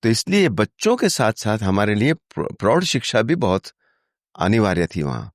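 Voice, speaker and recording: A man's voice: on the low side, very animated, normal speed, dry recording, studio quality. An older man with an Indian accent, a podcast guest telling anecdotes and explaining in a conversational way, switching between Hindi and English. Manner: explanatory, illustrates with anecdotes and asides.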